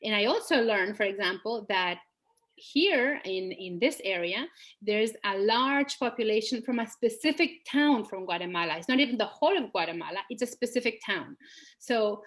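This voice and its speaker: A woman's voice: medium pitch, animated, moderate pace, a bit bright. Hesitant woman. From Spain. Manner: hesitant, digressive, many fillers. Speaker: local journalist, panelist. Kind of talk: virtual panel discussion. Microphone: video call.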